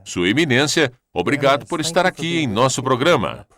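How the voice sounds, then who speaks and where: A man voices an interviewer in a dubbed interview. His pitch sits mid-range with lively swings. He asks his questions politely and with curiosity. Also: brisk. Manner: polite, inquisitive. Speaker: interviewer voice-over.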